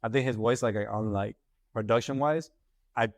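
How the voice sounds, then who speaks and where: A man's voice: mid-range voice, normal speed, no echo. A young man, a rapper guest, telling stories in loose casual chat full of slang. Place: studio room.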